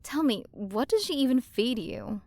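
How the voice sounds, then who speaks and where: A woman's voice: on the high side, lively intonation, a little mellow, no echo. A woman playing a haughty, possessive alien galaxy queen in an ASMR roleplay, condescending and flirtatious as she toys with the listener. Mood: smug, disdainful. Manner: condescending, flirtatious, drawn-out delivery with breathy drops into whispers. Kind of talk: ASMR roleplay, scripted character acting.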